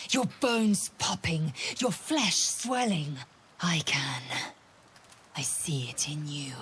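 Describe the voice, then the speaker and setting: An actress playing a fierce, proud alien warrior woman in a video game, with a husky, breathy rasp and clipped, menacing delivery. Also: low voice, a bit bright, full character voice. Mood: feverish dread, then grim resolve. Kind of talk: dramatic video game acting.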